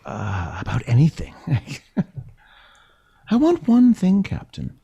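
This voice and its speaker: A man playing a seductive, wounded consort of a starship captain in an audio drama, with a halting, sultry, theatrical delivery. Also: a little high, wildly swooping pitch, creaky, warm tone, husky, muffled recording, exaggerated voice. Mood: taunting, bitter. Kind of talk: gender-swapped science fiction audio drama acting.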